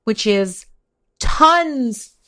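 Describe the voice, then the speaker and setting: A woman's voice: fairly high pitch, lively intonation, drawn-out words, fairly bright tone. A woman in her late forties talking casually but emphatically as a co-host in a podcast discussion. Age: late 40s. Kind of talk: casual conversation on politics.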